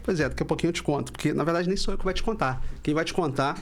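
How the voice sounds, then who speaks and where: A man's voice: fairly high pitch, very fast, slight background noise. A Brazilian man hosting a podcast, talking in an upbeat, chatty, slangy way. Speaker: podcast host. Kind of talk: casual podcast interview.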